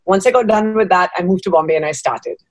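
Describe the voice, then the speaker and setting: Woman in her 30s chatting as an interview guest, lowish voice, pretty dry sound. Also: quick pace, dry recording. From Dubai. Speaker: guest, fashion designer. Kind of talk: conversational interview answers. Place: small room at home. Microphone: video call.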